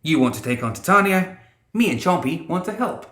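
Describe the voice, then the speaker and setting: Man doing a cocky, high-pitched bratty boy. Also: expressive, brisk, pushed and bright, reverberant. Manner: brash, slangy, swaggering. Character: cocky, bratty teenage boy with a cricket bat.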